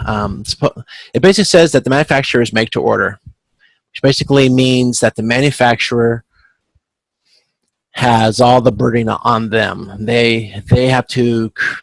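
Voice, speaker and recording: A man with a creaky voice working as an instructor, giving an informal, explanatory step-by-step tutorial. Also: medium pitch, no echo, low-quality recording. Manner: explanatory, checks in with frequent "okay". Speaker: instructor leading a supply chain class tutorial. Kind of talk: informal step-by-step tutorial over video conference. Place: close, dry-sounding video call.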